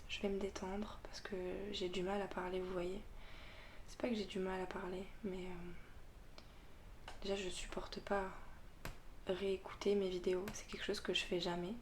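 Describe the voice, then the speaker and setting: A woman hosting her own tarot channel, talking unscripted in a slow, hesitant way, full of fillers and restarts, often dropping to a soft, half-whispered voice and chuckling at herself. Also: mid-range voice, flat delivery, slight background noise. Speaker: tarot reader and channel host. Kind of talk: unscripted casual vlog monologue.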